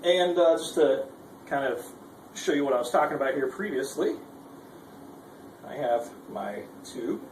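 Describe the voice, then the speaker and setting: A man's voice: medium pitch, lively intonation, a little slow, long pauses, a little mellow. A man giving a casual, informal instructional demo, speaking hesitantly and with some self-deprecation. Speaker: guitarist giving a gear demonstration. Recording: roomy, distant mic with background noise.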